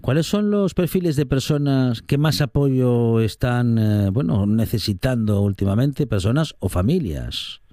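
A man hosting a radio interview, asking measured questions with some fillers. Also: medium pitch, expressive, dark tone, no echo, studio quality.

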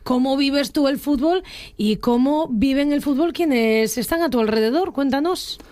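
A woman's voice: sits high, moderate pace, darkish tone. Upbeat woman radio host. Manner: upbeat, enthusiastic, many exclamations. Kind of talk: radio interview chat. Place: radio studio.

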